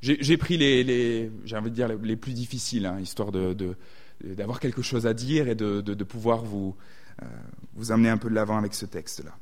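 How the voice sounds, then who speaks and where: A man's voice: on the low side, fairly even intonation, brisk, darkish tone. A man with a southwestern French accent preaching a sermon, thoughtful and often hesitating to reword his thoughts.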